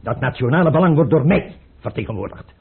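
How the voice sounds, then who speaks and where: An actor playing a suspicious, autocratic tsar, imperious and abrupt, in a radio play. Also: male voice, mid-range voice, animated, quick pace, vocal fry, breathy edge, heavily acted. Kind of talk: Dutch radio play acting. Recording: noisy, tinny old radio recording.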